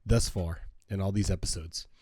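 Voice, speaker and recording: A man co-hosting a podcast in casual, rambling, jokey chat, his voice slightly hoarse from a cold. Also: low voice, steady tone, normal speed, darkish tone, dry recording, studio quality. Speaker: podcast co-host.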